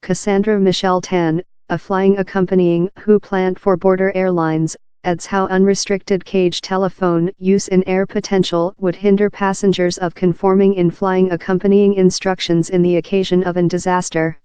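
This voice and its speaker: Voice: mid-range voice, level tone, normal speed, hardly any pauses, a little mellow, clear, resonant tone, no echo. A narrator reading a scripted article aloud in an impersonal, uninflected way.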